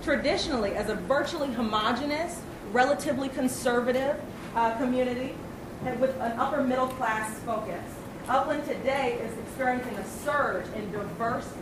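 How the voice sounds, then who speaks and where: A young woman from Northern California presents to a community gathering in a measured, prepared way with the odd filler. Her voice sits a little high and is picked up from a distance in a reverberant hall with noticeable noise. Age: young adult. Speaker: program presenter. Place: large hall with audience. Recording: echoey room, far from mic, noisy.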